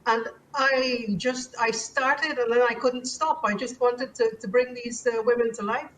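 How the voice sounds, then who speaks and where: A woman's voice: on the high side, steady tone, a little slow, a bit bright, distant mic, poor audio. A woman from Guyana, an author answering interview questions in a thoughtful, hesitant way with many fillers. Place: abroad in the Netherlands.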